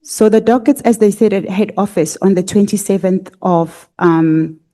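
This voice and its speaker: A woman's voice: medium pitch, normal speed, mellow. A woman leading evidence at a parliamentary hearing, asking long, methodical questions with frequent fillers. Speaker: evidence leader questioning a witness. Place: parliamentary committee room.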